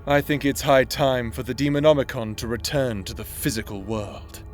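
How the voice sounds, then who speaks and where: A man voicing a menacing, scheming male necromancer in a roleplay audio drama, speaking in a calm, threatening, theatrical way. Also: mid-range voice, normal speed, over loud music, natural voice.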